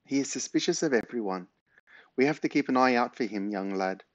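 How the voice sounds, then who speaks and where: A man's voice: mid-range voice, lively intonation, a little fast, no room sound, natural voice. Storybook granny, played by a man: elderly, feisty, bossy and indignant, on a dry, slightly dull recording. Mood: grumbling.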